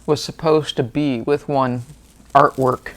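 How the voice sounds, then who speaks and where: A woman's voice: very deep voice, fairly even intonation, takes it slowly, slight background noise. A woman, hosting a book channel and chatting casually through an unboxing with fillers and small laughs. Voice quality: slightly gravelly.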